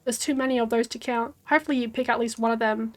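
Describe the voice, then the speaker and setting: A young woman with an Australian accent, voicing the ocean as a vague, mysterious guide in a measured, portentous way. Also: a little high, fairly even intonation, lightly acted.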